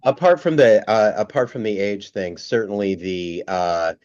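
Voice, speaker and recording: A man talking casually in an interview as a theater director, hesitant and pausing between phrases. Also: fairly low pitch, darkish tone, dry recording, muffled recording.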